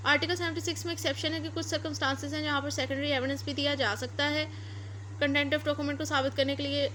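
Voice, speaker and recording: A woman's voice: sits high, fairly bright tone, slight background noise. A woman with a Pakistani accent lecturing on law point by point, mixing in English legal terms.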